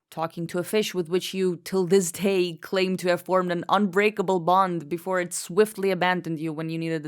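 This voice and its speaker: A young woman from Egypt with a fairly low voice, narrating in a dry, sardonic, self-mocking tone. Music plays under her scripted voice-over. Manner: sardonic, self-deprecating, deadpan. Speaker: vlogger.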